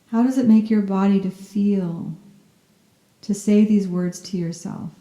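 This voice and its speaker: A woman leads a guided relaxation as a meditation teacher. Her voice is very warm and dark, sitting at a medium pitch. She speaks softly and soothingly with gentle, unhurried phrasing, and the recording has a noticeably roomy echo. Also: steady tone. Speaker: yoga nidra meditation guide. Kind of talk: guided meditation, scripted narration.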